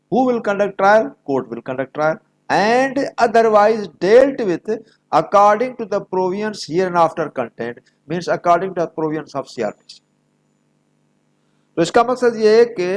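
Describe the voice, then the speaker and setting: A man's voice: fairly high pitch, poor audio. A man with a Pakistani accent lecturing on legal provisions in an emphatic, didactic manner. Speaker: law lecturer.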